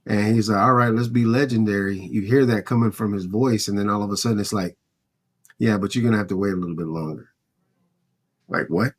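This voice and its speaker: A man's voice: fairly low pitch, a little fast. A man co-hosting a casual sports talk podcast, recounting and speculating in a loose, conversational way. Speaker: podcast host.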